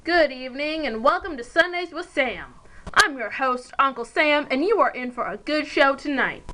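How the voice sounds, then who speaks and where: A showy, upbeat talk-show host from an amateur puppet play, put on by a young woman. The voice is fairly high and greets and presents in a scripted patter. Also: moderate pace, sharp tone, poor audio.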